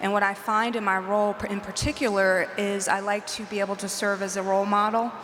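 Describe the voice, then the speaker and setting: Woman executive on a panel, even, steady delivery with little pitch movement, conference hall reverb. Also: fairly quick, a little hiss or hum. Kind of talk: panel discussion. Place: large conference hall stage. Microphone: handheld mic. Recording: roomy hall reverb, faint ambience.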